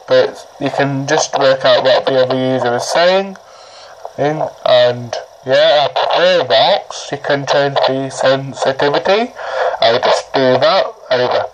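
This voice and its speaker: A man giving a casual, rambling how-to explanation, halting and often repeating his words, as he demonstrates a product. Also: medium pitch. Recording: noisy amateur recording, clicks.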